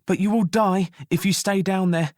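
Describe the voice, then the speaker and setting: A man's voice: sits high, steady tone, normal speed, darkish tone, no echo, studio quality, pitched above the performer's own voice, natural voice. A man voicing a panicked female jailer who pleads and weeps. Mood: pleading. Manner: pleading, panting, frantic.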